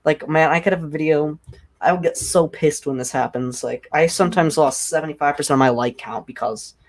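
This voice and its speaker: A young man with a deep voice hosting a casual livestream, rambling and filling nearly every phrase with "like". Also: fairly quick. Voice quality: self-described deep voice. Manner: rambling, heavy use of "like". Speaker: livestream host.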